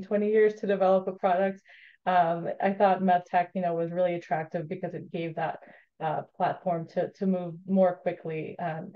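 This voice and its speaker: Woman, thirties, low voice, measured, hesitant fillers, lo-fi video call. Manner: measured, many ums and uhs. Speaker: startup CEO and co-founder. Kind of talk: webinar panel talk.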